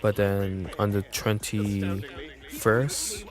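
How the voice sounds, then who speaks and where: A man's voice: lowish voice, little pitch movement, extremely slow, a crowd in the background. A man streaming a video game with casual, rambling, informal commentary. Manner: informal, rambling, mild swearing. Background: game music.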